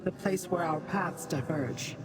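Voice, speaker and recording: A woman's voice: fairly low pitch, unhurried, heavy background noise, natural voice. An actress playing a proud, cold female demon in a video game, speaking in a haughty, condescending, formal way. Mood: composed. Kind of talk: video game character dialogue. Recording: music behind the voice.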